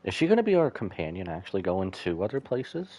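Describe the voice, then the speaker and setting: A man's voice: low-pitched, very animated, quick pace, dark tone, highs cut off. A man doing casual live commentary on a game, sentimental and musing aloud to himself as he reacts. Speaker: game streamer.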